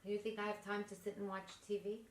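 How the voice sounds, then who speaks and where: A woman's voice: mid-range voice, little pitch movement, fast talker, roomy sound, far from the mic, a little hiss or hum. A woman with a New York accent, a guest on a podcast, talking bluntly and teasing in casual chat. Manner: blunt, teasing, matter-of-fact. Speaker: podcast guest, energy healer.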